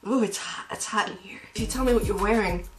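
A woman's voice: mid-range voice, fast talker, far from the mic, soft background music, close to an everyday voice. A woman playing a flirty, teasing woman who records a sultry mock voicemail greeting. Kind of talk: mock answering machine greeting.

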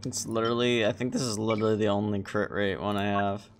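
Low-voiced man muttering on a game stream. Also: little pitch movement, quick pace, a little hiss or hum. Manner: sweary, wry muttering. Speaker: live streamer. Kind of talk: casual gaming livestream chat. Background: game music.

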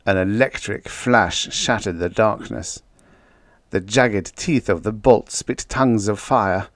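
Low-pitched man narrating vintage science fiction. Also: takes it slowly, no echo. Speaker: audiobook narrator.

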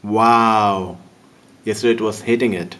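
A man's voice: on the low side, steady tone, a little slow, fairly bright tone, slight background noise. A man with a Pakistani accent casually narrating a phone app demo, making amused comments on its answers. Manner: chatty, amused running commentary. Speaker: app demonstrator.

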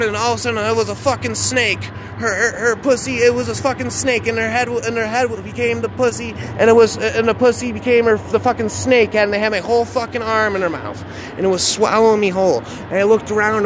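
A man with a high voice improvises a dark first-person story. He sounds agitated, repeats himself and swears in casual slang. The recording is noisy, made outdoors. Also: fairly even intonation, fast talker. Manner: agitated, repetitive, profane, slangy.

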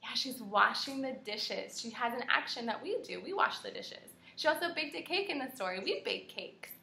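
A woman's voice: on the high side, animated, normal speed, gravelly, sharp tone, slight background noise. A young woman, a kindergarten teacher, reading aloud and asking children questions in an encouraging, carefully articulated way. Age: young adult. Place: small room at home, indoors. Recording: roomy, echoing home video.

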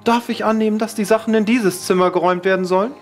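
A man's voice: high voice, steady tone, quick pace, soft background music, lightly acted. Man playing an obliging formal manor servant. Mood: polite. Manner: courteous, servile.

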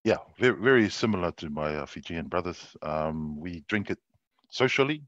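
A man chatting as a guest on a video call in a relaxed way, with some fillers. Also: low voice, normal speed, no echo. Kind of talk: casual chat on a video call. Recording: dry, dull video-call audio.

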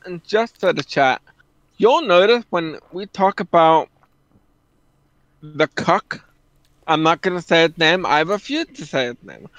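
A man's voice: high-pitched, fairly bright tone, no echo, low-quality recording. A man chatting casually on a livestream in a blunt, emphatic, opinionated way. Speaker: streamer and co-commentator. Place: indoor room at a streaming setup.

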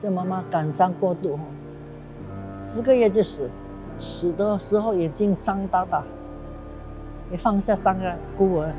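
A woman's voice: lowish voice, measured pace, pauses often. A woman in her eighties, a war survivor, telling her story earnestly and with emotion in a documentary interview. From Singapore. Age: 80s. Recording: clear music bed underneath.

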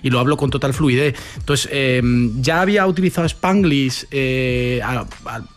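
Un hombre de Madrid, rapero invitado, que habla relajado y coloquial, con muletillas. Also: male voice, medium pitch, normal speed. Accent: Madrid Spanish. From Spain. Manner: relaxed, slangy, many fillers like "¿no?" and "tío". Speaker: rapper guest. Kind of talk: casual interview answers.

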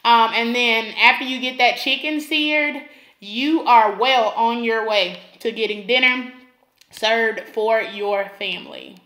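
Woman, cooking host, higher voice, unhurried, step-by-step instructions. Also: pushed and bright, reverberant, rough recording. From Alabama, US. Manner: instructive, repeats for emphasis. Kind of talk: cooking tutorial voice-over.

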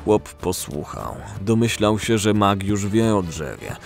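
A man's voice: lowish voice, big pitch swings, a little slow, creaky, soft background music. A man narrating a scripted fantasy audio story.

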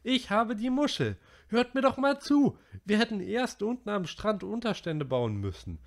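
Man reading aloud as anxious chubby schoolboy, high and whiny, audiobook. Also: lively intonation, normal speed, vocal fry. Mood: indignant. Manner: high, whiny and breathless pleading.

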